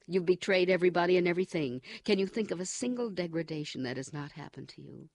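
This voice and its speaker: A cold, commanding interrogator, as a male narrator puts him on, loud and contemptuous, with a gravelly edge and a slightly raised voice. The delivery is dry and close, as in a studio audiobook reading. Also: fairly high pitch, expressive, fast talker, no room sound. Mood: relentless. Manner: forceful, contemptuous, coldly commanding.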